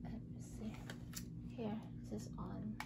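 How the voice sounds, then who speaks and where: A woman's voice: medium pitch, little pitch movement, slow pace, gravelly. A mother talking casually and patiently with her child, giving simple instructions at home. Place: bedroom at home. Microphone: camera mic, speaker off camera. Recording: noisy home recording.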